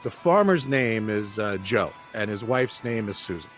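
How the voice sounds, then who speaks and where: An actor playing a laid-back, sardonic male buddy with a dry, halting, teasing delivery in audio drama dialogue. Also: fairly low pitch, expressive, faint music behind, close to an everyday voice. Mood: joking.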